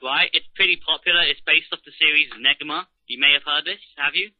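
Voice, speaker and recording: A man's voice: a little high, fairly quick, dry recording. A man with an English accent, chatting casually as an interviewer on a call. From England. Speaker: interviewer, prank caller. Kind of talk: casual phone chat. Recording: tinny, narrowband phone line.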